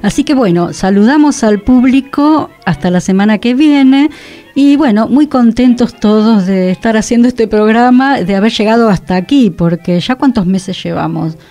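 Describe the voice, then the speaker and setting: A woman's voice: on the high side, lively intonation, normal speed, dark tone. A woman with a Rioplatense Argentine accent hosting a casual radio chat in a studio. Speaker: radio host. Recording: music playing behind the voice. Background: music.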